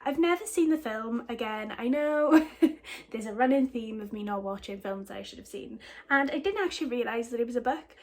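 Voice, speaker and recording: A woman's voice: high voice, a little mellow. A young woman with a Welsh accent, chatting casually and rambling a little as she shows off a book haul. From Wales. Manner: chatty, rambling, giggly asides. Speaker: book vlogger.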